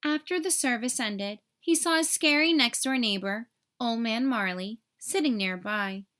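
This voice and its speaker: A woman narrating a children's story aloud in an expressive, clearly enunciated storytelling manner. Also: on the high side, unhurried. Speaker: storybook reader.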